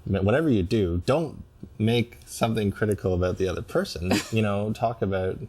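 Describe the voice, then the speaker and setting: Male therapy client, very deep voice, reflective and analytical, articulate with measured phrasing. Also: darkish tone. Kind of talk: reflective conversation.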